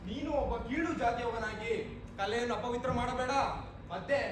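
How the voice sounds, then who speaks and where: Heard from far off in a noisy, very echoey hall, a man acts a hostile villager in a stage play. He scolds and commands in a very high male voice. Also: moderate pace. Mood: scornful, threatening. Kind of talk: amateur stage play dialogue.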